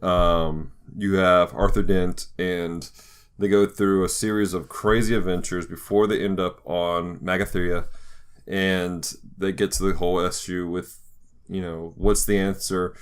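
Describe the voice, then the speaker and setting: Guy with a kinda low voice explaining stuff on a casual podcast, halting and chatty. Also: little pitch movement, clear, resonant tone. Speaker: podcast co-host.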